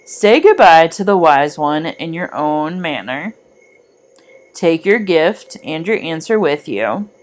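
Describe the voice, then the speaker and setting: A woman reading a scripted guided meditation in a soothing, deliberate, gently instructive manner. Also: sits low, sing-song. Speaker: meditation guide, art teacher.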